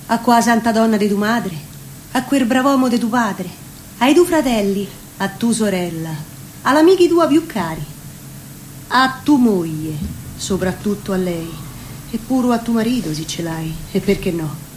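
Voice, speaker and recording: A woman with a Roman accent reciting a theatrical spoken-word monologue, deliberately, phrase by phrase. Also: fairly high pitch, animated, rough recording. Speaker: reciting performer. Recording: faint ambient background noise.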